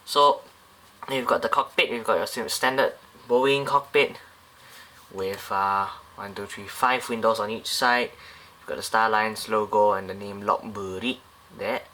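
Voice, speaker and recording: A man's voice: fairly low pitch, steady tone, a little slow, sharp tone. A man casually reviewing a scale model airliner up close, talking in a loose, rambling way with many fillers and restarts.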